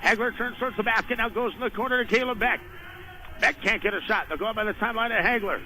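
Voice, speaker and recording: A man doing live radio play-by-play of a basketball game in clipped phrases, rising to excited shouts on big plays. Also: high voice, fast. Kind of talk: live radio sports commentary. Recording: noisy radio broadcast from a gym.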